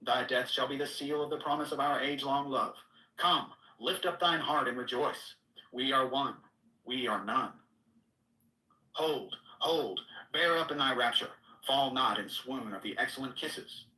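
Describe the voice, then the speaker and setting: A man's voice: medium pitch, normal speed, sharp tone. A man giving a solemn, declamatory reading of archaic mystical scripture. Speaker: reader. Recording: echoey room, distant mic.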